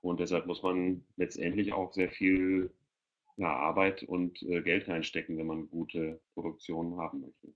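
A man's voice: deep voice, level tone, measured pace. A middle-aged man giving explanatory answers as a panelist in an online Q&A, speaking through a headset. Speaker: audio producer for children, panelist. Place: indoors at home, video call. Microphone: headset on a video call. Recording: dull, lo-fi video call audio.

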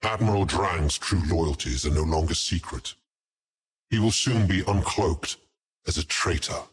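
An actor playing a silky, sinister male lieutenant of Chaos in a game cutscene, speaking in a grandiose, deliberate and menacing way. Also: low voice, husky, full character voice. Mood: conspiratorial. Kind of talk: dramatic game cutscene acting. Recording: voice under very loud music. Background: loud music.

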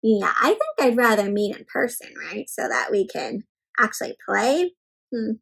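Young female children's read-aloud host. High-pitched, bright, animated and full of questions for young listeners. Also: normal speed, gravelly, husky, poor audio. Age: young adult. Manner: animated, exclaiming, addressing children as friends. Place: indoor room with bookshelves.

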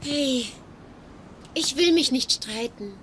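High-voiced young girlfriend. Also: animated, takes it slowly, heavy background noise. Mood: conciliatory. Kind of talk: dubbed sitcom acting.

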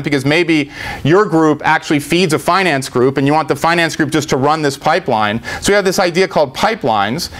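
A middle-aged man giving a live software demo in an informal, step-by-step explanatory way. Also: on the high side. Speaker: tech presenter. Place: indoor meetup presentation room.

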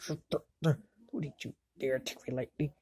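A woman's voice: extremely low pitch, fairly even intonation, takes it slowly, warm tone, a little hiss or hum. A woman voicing a bratty, hot-tempered young boy wizard in an amateur cartoon fandub, sneering and exasperated. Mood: angry, contemptuous.

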